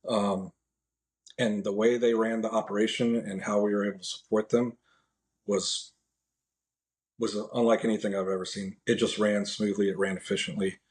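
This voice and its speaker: An American man as a podcast guest, giving a casual, measured and somewhat halting first-hand account. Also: on the low side, level tone, a little mellow. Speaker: podcast guest, National Guard air traffic controller.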